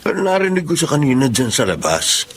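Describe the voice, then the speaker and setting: An actor playing a sensible, mildly impatient husband who chides, in a Filipino radio melodrama. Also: male voice, mid-range voice, wildly swooping pitch, fairly quick, a little hiss or hum. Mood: chiding.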